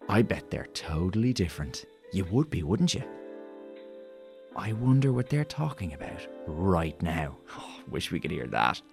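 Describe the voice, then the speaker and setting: Radio drama role: gentle, hopeful male convict, very low creaky voice, rambles earnestly, music underneath. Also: big pitch swings, measured pace, full character voice. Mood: musing, curious. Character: naive, self-doubting condemned male criminal, gently hopeful. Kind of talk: naturalistic radio drama acting.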